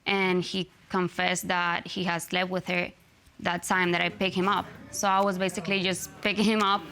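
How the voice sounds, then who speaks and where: A woman's voice: on the low side, little pitch movement, moderate pace, pushed and bright, a little hiss or hum. A young woman with a Cuban accent and Spanish as her first language, telling her side conversationally in a TV court. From Cuba. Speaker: litigant on a TV court show. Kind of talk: conversational testimony. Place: TV studio courtroom.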